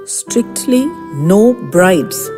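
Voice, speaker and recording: Slow, expressive woman storyteller in reverberant space. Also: mid-range voice, over music. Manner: expressive, measured, literary delivery with deliberate pauses. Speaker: storyteller, podcast narrator. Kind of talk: dramatic first-person audio fiction reading.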